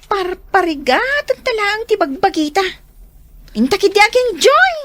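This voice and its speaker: A lazy young student in a radio drama. Her voice is extremely high. She whines with reluctance. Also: big pitch swings, normal speed, a bit bright, dry recording, full character voice. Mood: whining, reluctant. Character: lazy, whining young female student who skips studying.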